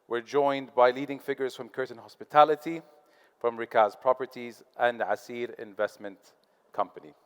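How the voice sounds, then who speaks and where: Moderator, man, smooth scripted delivery, hall reverb tail. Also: mid-range voice, steady tone, normal speed. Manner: polished, reads prepared questions. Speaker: panel moderator, podcast host. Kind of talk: scripted moderator introduction and questions. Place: conference hall on stage.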